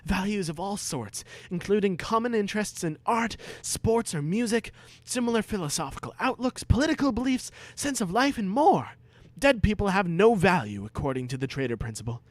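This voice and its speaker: A man's voice: high voice, lively intonation, normal speed, no room sound, pitched above the performer's own voice. A man voicing an earnest, pompous schoolboy who lectures with great self-assurance in a dramatic reading. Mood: earnest, lecturing. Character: earnest, pompous schoolboy free-market zealot.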